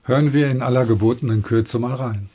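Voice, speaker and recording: Composed male radio host, slightly husky, mellow. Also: mid-range voice, steady tone, brisk. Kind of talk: prepared broadcast interview questions.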